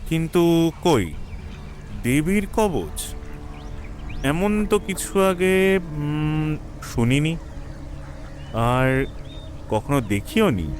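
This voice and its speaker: A man's voice: fairly high pitch, very animated, drawn-out words, long pauses, slight background noise, exaggerated voice. An actor playing a cautious, respectful young village man in an audio drama, speaking in a somewhat hesitant way. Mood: puzzled. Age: young adult.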